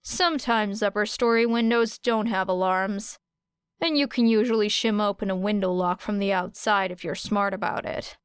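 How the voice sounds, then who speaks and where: A woman voicing a cool, wry teenage girl with a deadpan, matter-of-fact manner in an audiobook story. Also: medium pitch, normal speed, clear, resonant tone, dry recording, clean studio sound, lightly acted.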